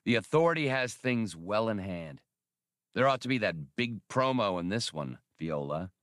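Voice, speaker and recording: A man's voice: fairly low pitch, big pitch swings, moderate pace, fairly bright tone, no echo, studio quality. A man voicing a cynical, wry starship smuggler captain with sardonic, grudging remarks, in an audiobook. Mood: bitter.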